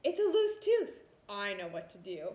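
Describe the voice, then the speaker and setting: A woman's voice: medium pitch, wildly swooping pitch, normal speed, a little mellow, voice pushed down. A woman voicing a confident storybook grown-up eager to fix things, with exaggerated, matter-of-fact delivery. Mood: fascinated, confident. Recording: roomy echo.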